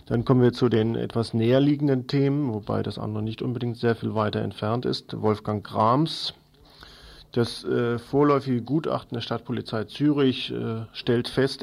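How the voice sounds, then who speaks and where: A man's voice: medium pitch, gravelly, darkish tone. A man presenting an informal radio news overview, part read and part improvised, addressing listeners familiarly.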